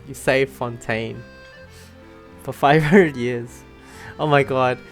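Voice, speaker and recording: A woman with a low-pitched voice chats informally over gameplay. She is giggly and casual in the way of a streamer, and soft game music sits behind her. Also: fairly even intonation, takes it slowly.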